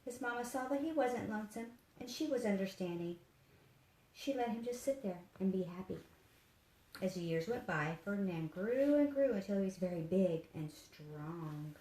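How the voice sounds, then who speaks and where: A woman reading a children's story aloud in an expressive, simple storytelling manner, as if to young listeners. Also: mid-range voice, moderate pace. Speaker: therapy dog handler and volunteer reader. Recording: echoey room with faint background noise.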